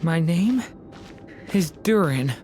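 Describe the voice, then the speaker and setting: A woman voicing a gentle, earnest and uncertain young dragon boy in a dramatic video game, speaking haltingly. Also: fairly low pitch, animated. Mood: weak, struggling. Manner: hesitant, halting. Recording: faintly noisy game capture.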